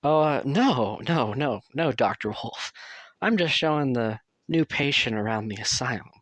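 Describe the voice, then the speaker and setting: A man's voice: mid-range voice, animated, measured pace, fairly bright tone, no echo. A man acting as a nervous, friendly young asylum patient in an audio roleplay, talking in a hesitant, confiding way. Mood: nervous, placating.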